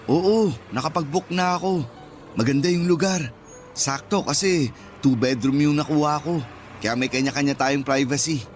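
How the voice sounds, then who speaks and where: A man's voice: fairly high pitch, normal speed, faint music behind, lightly acted. An actor playing an easygoing young man chatting and joking with friends in a Filipino radio drama. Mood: friendly, upbeat. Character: easygoing young male friend heading on vacation.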